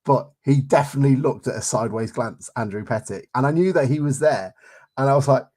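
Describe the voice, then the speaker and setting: A man's voice: mid-range voice, fairly quick, darkish tone. Jokey, blunt English man bantering. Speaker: podcast co-host. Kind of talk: casual chat.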